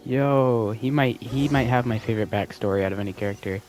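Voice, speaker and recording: A man's voice: medium pitch, brisk, darkish tone. A man giving casual reaction commentary as a game streamer.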